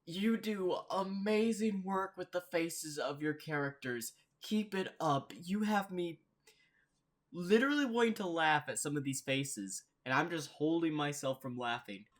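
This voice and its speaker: Reaction host, mid-range voice, casual rambling commentary, thinking out loud. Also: medium pitch, measured pace.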